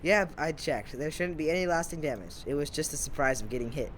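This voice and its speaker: A man's voice: fairly high pitch, expressive, fairly quick, slight background noise, lightly acted. A man playing an easygoing, practical young tinkerer in an amateur audio drama. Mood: casual.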